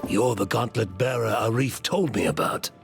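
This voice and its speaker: An actor playing a gruff, scornful mine worker and wary conspirator in a video game, dry and dismissive. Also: male voice, lowish voice, normal speed, faint music behind. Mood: wary, guarded. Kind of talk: video game cutscene acting.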